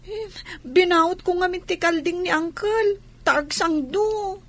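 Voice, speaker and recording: A woman's voice: very high voice, slow, fairly bright tone, no room sound, full character voice. A woman with an Ilocano accent voicing a young, anxious, protective big sister in a radio comedy drama, childlike and plaintive. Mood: frightened, pleading.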